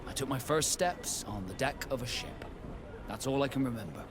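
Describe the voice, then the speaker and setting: An actor playing a reserved, dry sea captain in a video game, curt but polite. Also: male voice, medium pitch, expressive, normal speed, music in the background, natural voice. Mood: matter-of-fact. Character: guarded, dry sea captain, reluctantly at service.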